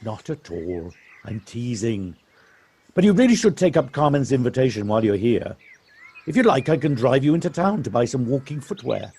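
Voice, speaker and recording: A kindly village man , played by an actor in an audio play, gently teasing and encouraging. His voice is soft-edged and a bit raspy, and it rises and falls freely. Birds sing behind him. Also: medium pitch, big pitch swings, normal speed. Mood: friendly, encouraging. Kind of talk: audio drama acting.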